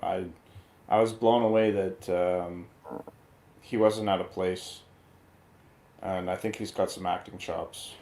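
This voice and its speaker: A man's voice: low-pitched, steady tone, takes it slowly, pauses often, mellow. A man talking casually as a panelist in a movie review chat. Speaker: show panelist. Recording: noisy, echoey room sound.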